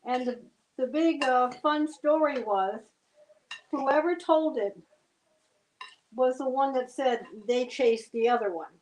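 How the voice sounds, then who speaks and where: An older woman from New Jersey walks through a family recipe step by step. Her voice sits a bit high, and her manner is homey and plain-spoken. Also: takes it slowly, long pauses, darkish tone, reverberant, a little hiss or hum, low-quality recording. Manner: homey, explains steps plainly. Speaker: guest cook. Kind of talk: cooking demonstration chat.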